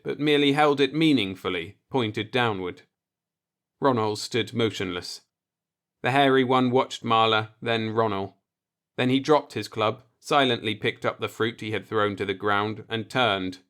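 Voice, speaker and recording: A man's voice: on the low side, unhurried, a bit bright. A man reading a science fiction story aloud as an audiobook narrator.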